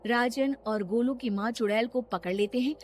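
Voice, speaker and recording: A woman's voice: a little high, normal speed, a little hiss or hum. A woman reading scripted narration for a children's cartoon story.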